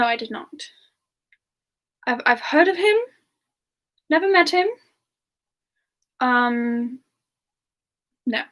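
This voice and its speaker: Woman playing a gentle, high-voiced, unhurried frost demon. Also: full character voice. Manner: soft-spoken, hesitant, drifting into whispers. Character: gentle, affectionate female frost demon chatting with fans. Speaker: ASMR character performer answering viewer questions. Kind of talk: semi-ASMR in-character livestream Q&A.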